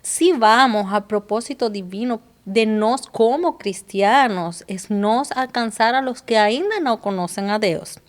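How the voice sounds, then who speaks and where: A woman's voice: fairly high pitch, expressive, unhurried, hardly any pauses. A woman from Cuba with a Cuban Spanish accent, a guest on a casual podcast, speaking Portuguese thoughtfully and slipping into Spanish words. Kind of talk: casual chat.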